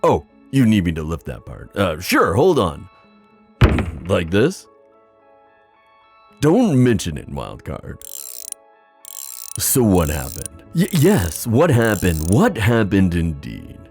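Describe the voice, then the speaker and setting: A man's voice: low voice, huge pitch swings, a little mellow, breathy edge, soft background music, full character voice. A man playing a flirtatious, confident giant sea god in an ASMR roleplay, teasing and theatrical in his delivery. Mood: easygoing, musing. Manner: teasing, theatrical, drawn-out phrasing.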